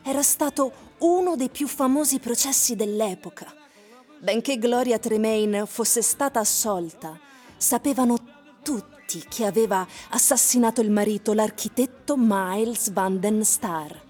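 A woman giving measured, scripted literary narration for a radio production. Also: on the high side, a little mellow. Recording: radio production over music bed.

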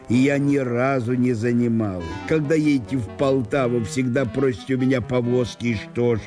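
An actor voicing a stubborn small-town landowner, blunt, grumbling and easily indignant, in a radio reading of a classic story. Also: male voice, medium pitch, close to an everyday voice. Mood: offended, indignant. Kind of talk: radio literary solo performance.

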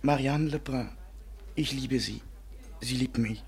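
A melodramatic French waiter whose heart is broken. The voice is husky and sits at a middle pitch. He speaks in the mournful, self-pitying, theatrical manner of 1950s German radio drama. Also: a little slow. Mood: mournful, despairing. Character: lovesick, melodramatic French waiter and hobby painter, a man.

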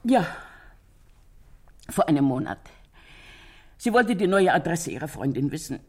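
An older landlady in a radio crime play, her voice low and husky. She gossips with sympathy, swinging widely in pitch as she retells what people said. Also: sing-song, unhurried, heavily acted. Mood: sympathetic, wistful. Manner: chatty storytelling, quotes others animatedly. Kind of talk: German radio crime drama acting.

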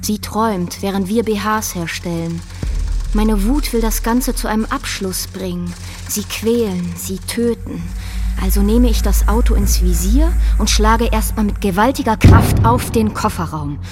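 A woman's voice: mid-range voice, measured pace, a little mellow, soft background music, natural voice. A woman acting in a scripted audio drama as a factory worker consumed by rage, narrating with intense deliberation. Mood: simmering rage.

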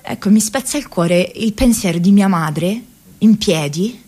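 An actress playing an idealistic, restless young woman in a radio theatre monologue, speaking expressively and haltingly, like an affectionately rebellious daughter. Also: mid-range voice. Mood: tender, nostalgic. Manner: halting, expressive, with broken repetitions.

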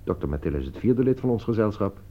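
Persuasive bossy gentleman, lowish voice that swings a lot, radio play, bit muffled. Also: expressive, fast, gravelly. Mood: measured explaining. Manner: persuasive, commanding, explanatory. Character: insistent, articulate gentleman defending his accused friend. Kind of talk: Dutch radio play acting. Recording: dull, lo-fi radio play recording.

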